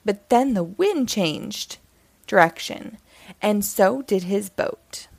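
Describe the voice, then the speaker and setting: A woman reading a rhyming children's picture book aloud in an expressive storytelling style. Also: medium pitch, takes it slowly, gravelly, no room sound.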